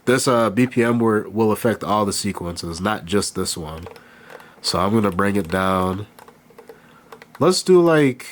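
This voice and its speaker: Low-voiced man, stuffy from a cold. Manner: relaxed, halting, thinks aloud while working. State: has a cold, nasal. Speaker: beatmaker demonstrating gear. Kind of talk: casual hands-on tutorial. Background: beat playing behind.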